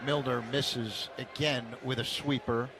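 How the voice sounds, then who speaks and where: A man's voice: mid-range voice, unhurried, noisy background. Man calling baseball on radio. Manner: steady, rising to excited calls on big plays. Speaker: play-by-play announcer.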